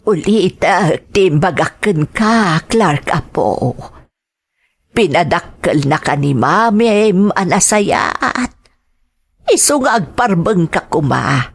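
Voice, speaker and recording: Woman acting a slow, husky, gentle grandmother. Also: lowish voice, expressive, full character voice. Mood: gentle, advising. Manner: soothing, counselling. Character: gentle, worried grandmother counselling her grown grandson. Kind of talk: audio drama acting.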